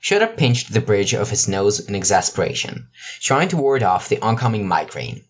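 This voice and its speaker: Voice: low-pitched, very animated, normal speed, slightly rough. An amateur narrator reading a scripted piece of fiction. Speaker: narrator, amateur podfic reader.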